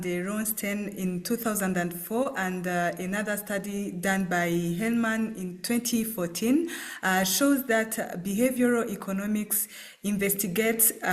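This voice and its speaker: Rwandan woman doing a class presentation, slow, lots of uhs. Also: mid-range voice. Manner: slow with frequent "uh" fillers. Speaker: student presenter.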